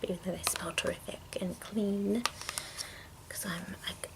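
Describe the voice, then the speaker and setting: Woman whispering slowly, creaky ASMR. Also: lowish voice, fairly even intonation, background noise. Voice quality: breathy whisper. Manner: hushed, rambling, unhurried. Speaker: ASMR video maker. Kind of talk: ASMR, casual product show-and-tell.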